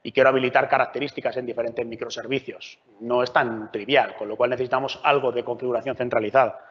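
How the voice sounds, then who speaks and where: A man's voice: medium pitch, fairly even intonation, quick pace. Man presenting in a big echoey hall. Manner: conversational, frequent filler checks. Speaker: meetup speaker. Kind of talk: technical conference talk. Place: large meeting room. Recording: lo-fi roomy hall recording.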